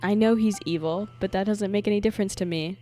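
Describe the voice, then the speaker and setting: A woman's voice: medium pitch, fast talker, warm tone, soft background music. A woman streamer giving casual gameplay commentary in a playful, slangy, reactive way. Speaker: game streamer.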